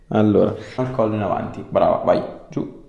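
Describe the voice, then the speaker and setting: A man in his thirties with a low, creaky voice. He talks a patient through a hands-on treatment in short, informal instructions. The small clinic room echoes around him. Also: unhurried, mellow, highs cut off. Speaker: chiropractor.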